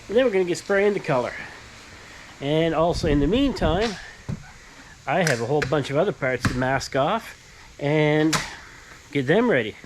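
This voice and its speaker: Casual male tradesman presenter, slightly high-pitched, plain step-by-step delivery, background workshop noise. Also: rough recording. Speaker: host, powder coating tradesman.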